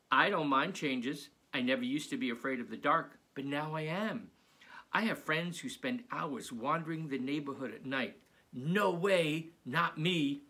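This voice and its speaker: A man's voice: medium pitch, bright, forceful tone, husky, heavily acted. A man voicing a friendly, chatty second-grade vegetarian zombie boy in a confiding storybook narration.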